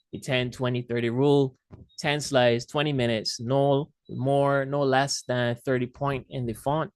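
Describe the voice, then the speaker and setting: A man's voice: medium pitch, dry recording. A man teaching an online class as instructor, speaking casually and loosely with fillers and restarts, heard over a video call.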